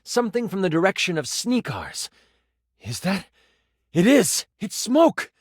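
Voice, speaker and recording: An eager male game master tells a fantasy tabletop adventure for a video game. His pitch sits on the high side and swings widely as he builds drama. The recording is dry and close, with a theatrical storyteller's delivery. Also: a little slow, slightly rough, no room sound, heavily acted. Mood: dramatic storytelling. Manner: dramatic, expressive, with aside remarks.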